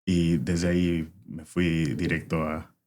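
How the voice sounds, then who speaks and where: Low-voiced Venezuelan-born man, relaxed. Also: slow. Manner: relaxed, frequent "este" fillers. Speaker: podcast guest, former filmmaker. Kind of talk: casual interview chat.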